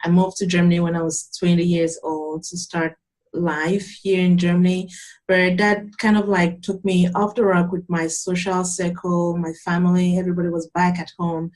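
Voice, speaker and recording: A young adult woman from Cameroon, a yoga teacher calmly and earnestly explaining her practice in an interview. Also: fairly low pitch, moderate pace. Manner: calm, earnest, explanatory with frequent restarts. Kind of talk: interview answers, conversational.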